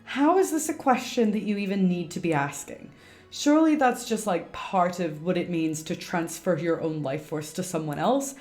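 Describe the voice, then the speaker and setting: A woman's voice: lowish voice, normal speed, a little mellow, faint music behind. A woman giving casual live game commentary, chatty and teasing as she talks back to the characters. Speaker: game streamer.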